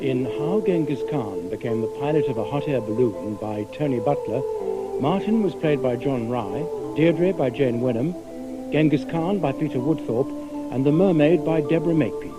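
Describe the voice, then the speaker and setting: Male radio announcer over loud music. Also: mid-range voice, lively intonation, moderate pace, rough recording. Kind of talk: formal scripted credits reading. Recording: 1980s radio broadcast, loud music behind.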